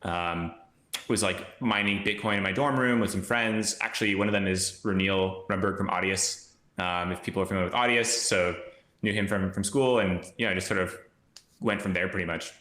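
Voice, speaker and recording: A man's voice: sits low, normal speed, roomy sound. A man speaking as a guest on a podcast by video call from a room at home, chatting casually with plenty of fillers and restarts. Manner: relaxed, rambling with fillers and restarts. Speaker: podcast guest, crypto investor. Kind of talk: casual chat. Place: home room with brick wall and plant.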